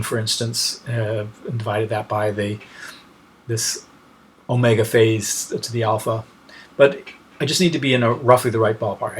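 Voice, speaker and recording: A man with a mid-pitched voice delivers an informal technical lesson. He talks like a lecturer working through steps aloud, often pausing mid-sentence to find the next word. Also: a bit bright.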